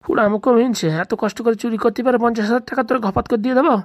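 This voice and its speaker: A woman's voice: fairly high pitch, fairly even intonation, rapid-fire, no echo, natural voice. An exasperated village dad from a comedy cartoon, acted by a woman, talks at breakneck speed. He scolds and haggles coarsely, in a very dry, close sound. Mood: angry, indignant. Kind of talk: comic cartoon dialogue.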